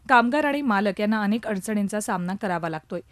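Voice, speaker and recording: A woman's voice: medium pitch, lively intonation, brisk, no echo. A woman reading a scripted news report as a reporter.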